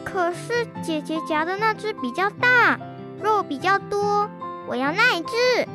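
A woman's voice: very high-pitched, animated, unhurried, over music. A schoolgirl acting a whiny, indignant young sister in a children's radio drama. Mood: insistent. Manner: whiny, protesting.

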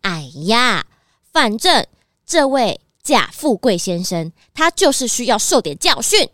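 Woman as a sly red fox thief, very animated. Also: on the high side, measured pace, no room sound, clean studio sound. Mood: stern, threatening. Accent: Taiwanese Mandarin. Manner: taunting, haughty. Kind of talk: children's detective radio drama.

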